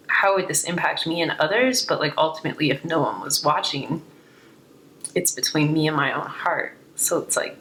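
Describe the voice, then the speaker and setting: A woman giving an unscripted, reflective spiritual tarot reading, speaking gently with pauses between phrases, her voice a little hoarse. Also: low voice, a little hiss or hum, low-quality recording. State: slightly hoarse. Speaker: tarot reader.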